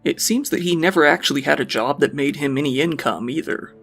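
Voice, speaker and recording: A man narrates a true crime case in an even, measured way. His voice sits a touch high and has a gravelly edge. Quiet music plays beneath him.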